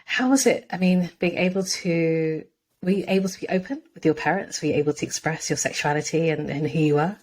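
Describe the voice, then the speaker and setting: A woman hosts a podcast interview in a low, deep-set voice. She is effusive and chatty, restarting her sentences and filling pauses. A faint hiss of noise sits under the recording. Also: a little mellow. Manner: effusive, many fillers and restarts. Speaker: podcast host. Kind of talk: warm interview chat.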